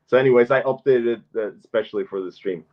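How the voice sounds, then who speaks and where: A man's voice: medium pitch, fast talker. A man from Norway chatting casually as a podcast guest, rambling with lots of fillers and restarts, short on sleep. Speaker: NFT artist guest. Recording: dull lo-fi sound.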